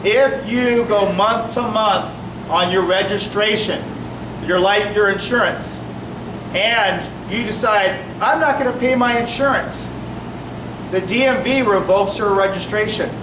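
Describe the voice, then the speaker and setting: High-voiced officer projecting to a room. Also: male voice. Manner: projecting, brisk, asks the audience questions. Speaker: police officer. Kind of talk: public meeting explanation. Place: community meeting hall. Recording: echoey room from far off, noisy.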